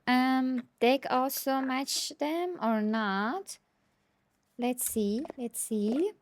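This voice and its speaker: Slow-talking woman with a higher voice. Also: no echo. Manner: hesitant, thinking aloud. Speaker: tarot deck reviewer. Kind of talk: casual unscripted show-and-tell.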